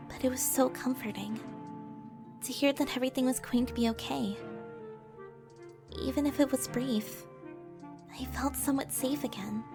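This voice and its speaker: A woman voices a wounded, anxious young bard in an audio drama. The voice is high and emotional, telling a fantasy tale with music behind it. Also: lightly acted. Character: traumatized, fearful young female bard recounting her past. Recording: music under the voice.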